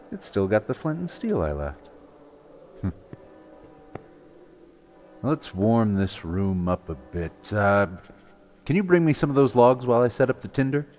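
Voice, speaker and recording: A man playing a companionable adventurer in an audio drama, casual and unhurried as he prompts a friend. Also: on the low side, darkish tone.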